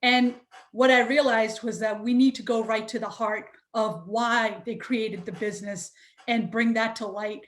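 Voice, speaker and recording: This is a woman with a fairly high voice, answering a panel question. She speaks in a chatty, fluent way. The sound comes over a video call. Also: steady tone, a little slow. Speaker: panel guest, entrepreneur. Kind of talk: conversational answer.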